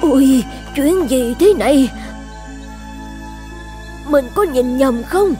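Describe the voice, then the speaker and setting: Kindly old village midwife, high-voiced. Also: female voice, lively intonation, slow pace, music in the background. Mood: anxious, astonished. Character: kind, selfless elderly village midwife and grandmother. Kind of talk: animated folktale dubbing.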